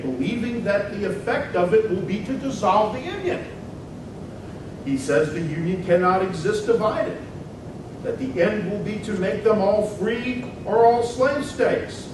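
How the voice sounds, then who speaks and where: Casting: impassioned 19th-century American senator, high-pitched declamatory oratory, emphatic, heard in a heavily reverberant hall. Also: male voice, expressive, normal speed. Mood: firm, accusing. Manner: declamatory, emphatic, rhetorical questioning. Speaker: performer in a dramatic reading. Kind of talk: theatrical political oratory, dramatic reading. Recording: very echoey hall, distant mic, loud rumbling noise.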